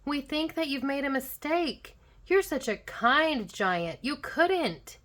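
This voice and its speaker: An adoring small child from a storybook, acted by a woman. The voice sits high and bright, full of eager pleading and open admiration. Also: animated, slow, heavily acted.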